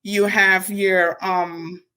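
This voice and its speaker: A woman's voice: medium pitch, level tone, drawn-out words, sharp tone, clear, resonant tone. A woman from Guyana with a Guyanese accent, chatting casually and reflectively as an interview guest.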